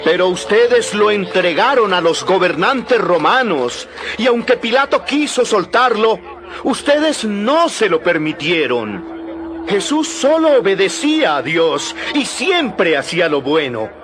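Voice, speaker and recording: An actor playing a fervent apostle preaching boldly and emphatically to a crowd in a dramatized Bible recording. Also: male voice, sits high, very animated, measured pace. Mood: earnest reproach. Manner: emphatic, declamatory, questioning.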